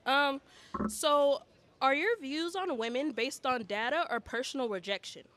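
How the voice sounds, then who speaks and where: Curt, high-voiced young woman. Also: moderate pace, fairly bright tone. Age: young adult. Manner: curt, confrontational. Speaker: student questioner at a debate. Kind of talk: unscripted debate questioning.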